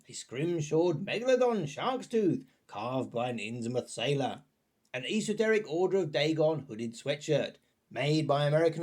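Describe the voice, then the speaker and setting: A man presenting a scripted review in a chatty, tongue-in-cheek manner with playful asides. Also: fairly high pitch.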